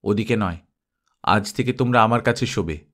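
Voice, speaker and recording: A man's voice: on the low side, normal speed, lightly acted. A man voicing a scolding, doting old village grandmother in an emotional, tearful manner for a story. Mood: stern. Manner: loud, emotional scolding wail.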